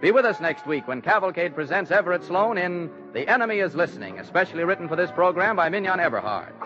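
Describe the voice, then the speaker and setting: A man's voice: high-pitched, sing-song, fast, over music. A man with an American accent reading a script as a formal 1940s radio announcer. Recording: muffled 1940s radio recording.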